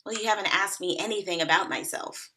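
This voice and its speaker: An actress at a table read playing a blunt woman in her thirties, with a dry, deadpan delivery. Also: mid-range voice, fast, lightly acted, low-quality recording. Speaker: actor playing Megan. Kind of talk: table read of a comedy pilot.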